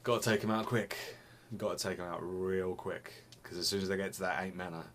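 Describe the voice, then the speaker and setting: A man's voice: low-pitched, steady tone, fairly quick, fairly bright tone, a little hiss or hum. A young man chatting casually to camera in a small home room, rambling and restarting his phrases, slightly sniffly. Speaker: vlogger.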